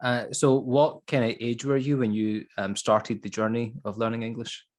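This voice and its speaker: Podcast host guy, short questions, lots of mm-hmm, average pitch. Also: flat delivery, normal speed. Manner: brief encouraging backchannels and questions. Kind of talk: casual interview conversation.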